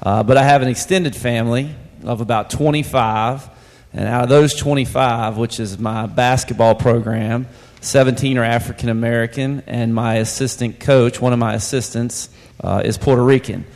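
A man from the Shenandoah Valley speaks plainly on a church panel, with many small pauses. His voice sits at a middle pitch and rings through a reverberant sanctuary. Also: steady tone, a little mellow. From Shenandoah Valley, Virginia. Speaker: basketball coach, panelist. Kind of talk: personal testimony. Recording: hall reverb.

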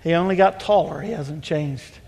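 A male pastor with a high voice runs a panel from the front of a church. He talks in a relaxed, folksy way. The sound rings in a long hall reverb. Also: moderate pace, creaky, slightly rough. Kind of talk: sermon panel hosting. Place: church sanctuary.

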